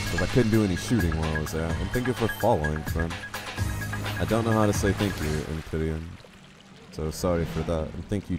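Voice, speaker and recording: Low-voiced man chatting while streaming a game. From United States. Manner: rambling, self-deprecating, reads chat aloud. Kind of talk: casual gameplay commentary, chatting with viewers.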